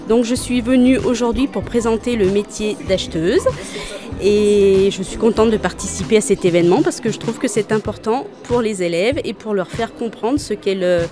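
Fluent woman at a fair stand. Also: mid-range voice. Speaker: buyer. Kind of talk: interview at a trade fair stand. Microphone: handheld recorder held by interviewer.

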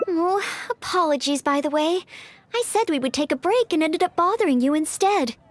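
Young maid knight, anime game: very high, lively intonation, polite, hesitant, eager to help. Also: female voice, moderate pace, no room sound. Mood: apologetic. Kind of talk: anime-style video game dialogue.

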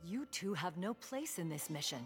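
Casting: middle-aged anime village chief, low, authoritative, no-nonsense. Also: female voice, big pitch swings, over music. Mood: commanding. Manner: authoritative, measured. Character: stern, commanding middle-aged female village leader. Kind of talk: English anime dub.

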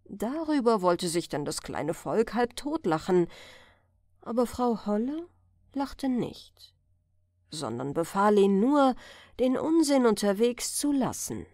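A woman reading a fairy tale aloud as an audiobook narrator, in an expressive storytelling style. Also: mid-range voice, takes it slowly, no echo, clean studio sound. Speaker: audiobook reader.

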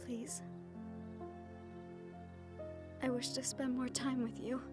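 A woman playing a shy, lovestruck young fantasy maiden, highish voice. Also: little pitch movement, normal speed, over music, close to an everyday voice. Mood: affectionate, shy. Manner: hesitant, tender, breathy hushed passages. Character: shy, lovestruck young nephilim woman, self-conscious and sweet. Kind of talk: romantic audio roleplay acting.

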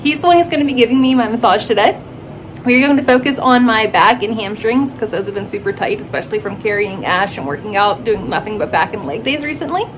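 Young female fitness vlogger, fairly high voice, excitable casual delivery, phone at arm's length with noticeable background noise. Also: fast talker, low-quality recording. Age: young adult. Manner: bubbly, excitable, emphatic. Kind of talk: casual chat to camera. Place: mostly inside a car, also outdoors and a small room.

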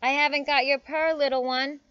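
A woman's voice: high-pitched, level tone, fairly quick, fairly bright tone, no echo, muffled recording, voice pushed up. A woman voicing a kindly old sheep in a children's storybook, with emphatic bleating.

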